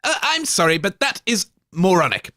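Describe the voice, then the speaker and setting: A man's voice: high voice, big pitch swings, normal speed, pushed and bright, no room sound, clean studio sound. A man co-hosting a comedy radio show, blunt and grumpy.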